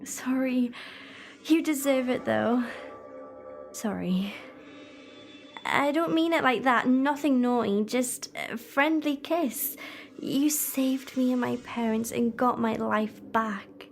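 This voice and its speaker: Audio drama role: young female companion played by a woman, high voice, inquisitive and conversational, faint music underneath. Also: takes it slowly, gravelly. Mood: apologetic, playful. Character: grateful, questioning young female companion of a time traveller.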